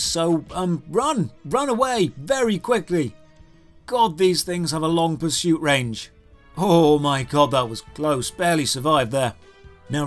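A man narrates a comedic game review in a high, swooping voice. His delivery is sarcastic and exasperated, clearly scripted for a voice-over, with music playing behind him.

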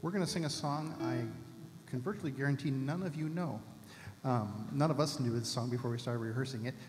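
A man introducing a song to a church congregation in a quick, chatty way with many ums. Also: medium pitch, big-room sound, slight background noise. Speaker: worship leader. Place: church stage, large indoor sanctuary. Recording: band music loud, voice distant.

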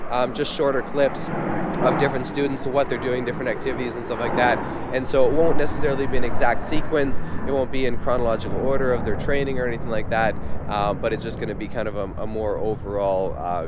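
A man in his thirties chatting casually to camera as a vlog host, congested with a head cold, speaking informally and a bit haltingly outdoors into a camera mic at arm's length. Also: mid-range voice, background noise. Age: 30s. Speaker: vlog host, helicopter company owner. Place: outdoors on an open helipad. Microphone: external camera mic at arm's length.

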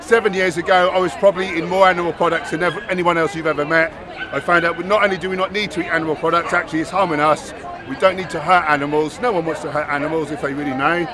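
Quick male talker, thirties, casual piece to camera, crowd behind. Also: on the high side, steady tone. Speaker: vegan fitness advocate. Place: outdoors in a park at a march. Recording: outdoor recording with crowd noise.

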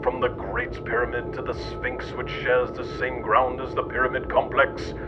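A man reading scripted mock-documentary narration in a pompous, deadpan manner. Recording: lo-fi, muffled, loud rumbling noise.

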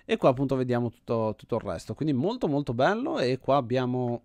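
A man's voice: mid-range voice, big pitch swings, fast, darkish tone, dry recording. An Italian man hosting a game series with casual gameplay commentary, thinking aloud and often repeating himself. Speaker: host of an indie game video series.

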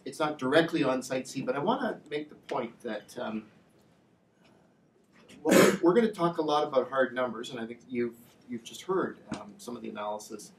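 A middle-aged man speaks as an industry representative at a panel discussion. His delivery is measured and halting, with points repeated for emphasis. He sounds far from the microphone in an echoey room, with faint noise. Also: mid-range voice. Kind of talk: public presentation at a panel discussion. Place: indoor lecture room with slide screen.

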